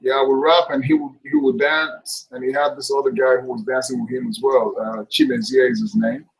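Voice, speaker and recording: A middle-aged man, a guest actor chatting casually on a video call, relaxed and jokey. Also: mid-range voice, brisk, creaky, low-quality recording. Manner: relaxed, laughs often, jokey. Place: indoors, room with curtains. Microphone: webcam mic on video call.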